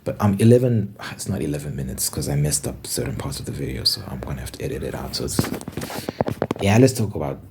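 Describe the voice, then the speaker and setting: Man, from Zimbabwe, low voice, casual vlog chat, rambling, self-correcting, faint background noise. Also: very animated, fast talker. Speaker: ASMR channel host. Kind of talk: casual talking-to-camera vlog. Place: bedroom with window.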